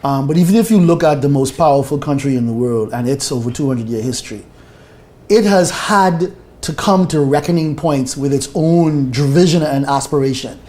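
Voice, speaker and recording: A man's voice: mid-range voice, normal speed, warm tone. A man, speaking as a panel guest in a reflective way and repeating key phrases. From Bahamas. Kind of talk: discussion panel.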